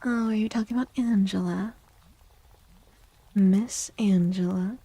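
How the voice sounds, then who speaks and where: A woman speaking softly and gently in a breathy, half-whispered ASMR style, like a livestreamer, often repeating phrases. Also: medium pitch, a little slow, no room sound, rough recording. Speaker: streamer and tarot reader. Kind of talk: soft-spoken ASMR livestream with casual chat.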